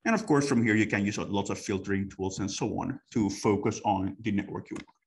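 A man's voice: fairly low pitch, brisk, slightly rough. A man giving an unscripted product demonstration as an expert, explaining technical steps conversationally.

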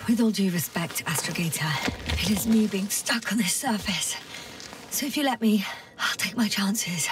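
A woman playing a determined space scientist stranded on a planet, measured and breathy in a dramatic game performance. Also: mid-range voice, far from the mic, slight background noise, close to an everyday voice.

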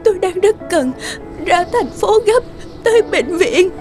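A woman's voice: very high voice, lively intonation, measured pace. A woman playing a distressed, grateful young wife and mother in a dubbed cartoon, with music behind her. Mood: desperate pleading.

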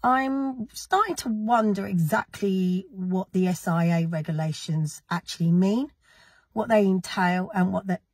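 Gravelly woman, husky and hoarse. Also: on the low side, a little mellow, no echo. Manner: indignant, emphatic, addressing critics directly. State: gravelly, recovering from illness, with a cough. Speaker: activist vlogger. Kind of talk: direct-to-camera vlog.

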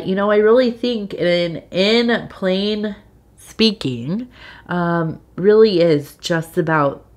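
A woman with a congested cold giving a soft-spoken, meandering tea leaf reading, with frequent fillers. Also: on the low side. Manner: slow, meandering, with many fillers and soft laughs. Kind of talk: soft-spoken ASMR tarot-style reading.

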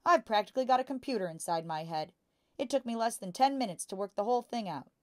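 A woman voicing a boastful, bullying father who deals in crooked cars, in a loud, hectoring manner for a storybook. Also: on the high side, expressive, quick pace, no room sound, clean studio sound, voice pushed up, natural voice.

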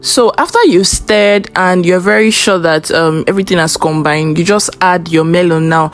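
A woman's voice: on the low side, normal speed, over music. Chatty Nigerian woman narrating her cooking. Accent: Nigerian English. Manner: chatty, instructive, with loose asides. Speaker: cooking vlogger. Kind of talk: casual cooking voice-over.

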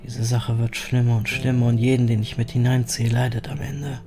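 An actor playing a weary, grim ferryman who narrates his own story in an audio drama, brooding and measured. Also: medium pitch, little pitch movement, music in the background, natural voice. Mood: brooding, resigned.

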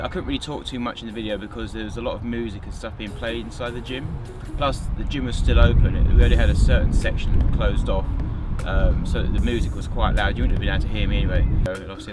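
A man's voice: lowish voice, heavy background noise. A young man hosting a photography vlog, chatting casually to camera with fillers and restarts, recorded on a lapel mic in a small studio. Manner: relaxed, rambling with fillers and repeated restarts. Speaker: photographer and channel host. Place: small studio office, indoors.

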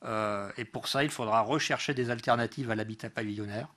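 A man giving a political speech as a local councillor, halting often and correcting himself as he goes. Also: medium pitch, fairly bright tone. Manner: hesitant delivery, frequent pauses and self-corrections. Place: council meeting room.

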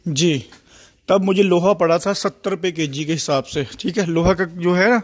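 High-voiced Punjabi man touring his poultry shed. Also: moderate pace. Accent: Punjabi-tinged Hindi. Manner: plain, repetitive, addresses viewer directly. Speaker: poultry farmer giving a shed tour. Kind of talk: casual walk-through explanation. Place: large open-sided poultry shed under construction. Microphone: phone camera held while walking.

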